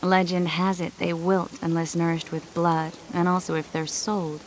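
An actress playing a tender, thoughtful young sorceress in a video game, speaking softly and expressively. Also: female voice, fairly low pitch, moderate pace, natural voice. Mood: wistful. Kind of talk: video game dramatic dialogue. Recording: steady rain and ambient noise behind. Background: rain.

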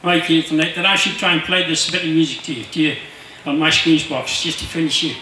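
A man's voice: fairly high pitch, steady tone, fairly quick, sharp tone. An older man, telling rambling anecdotes informally to a gathering over a handheld microphone. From Ireland. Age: 60s or older. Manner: rambling, storytelling. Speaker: club speaker and musician. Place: inside a marquee at a club rally. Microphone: handheld microphone through PA, captured by distant camera. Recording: echoey, noisy PA recorded from far off.

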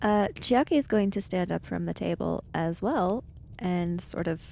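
A woman's voice: lowish voice, a little slow, creaky, mellow. A woman playing a tabletop roleplaying game, chatting casually and describing what her character does.

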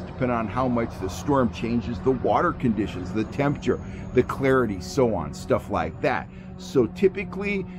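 A middle-aged American man giving instructional outdoor vlog talk as an angler, explaining points emphatically in folksy phrasing. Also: medium pitch. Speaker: fishing show host and angler. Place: outdoors among trees.

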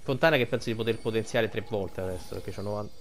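A man's voice: fairly low pitch, racing. An Italian man giving casual gameplay commentary, rambling and thinking aloud as he plays. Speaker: gaming streamer.

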